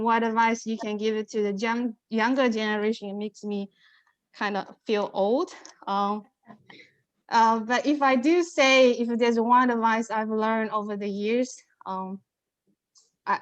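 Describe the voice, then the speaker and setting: A woman's voice: on the high side, a bit bright, no room sound, highs cut off. A middle-aged woman, a non-native English speaker with an accent, speaking earnestly and reflectively as a panelist giving career advice. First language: not English. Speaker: panelist, airport engineer.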